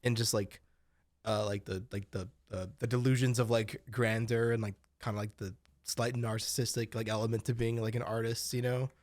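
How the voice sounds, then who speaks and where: A young man with a lowish voice rambles through casual podcast chat, piling on fillers and swear words. His voice is recorded close and dry, with no room sound. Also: little pitch movement, normal speed, a little mellow, dry recording. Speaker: podcast co-host.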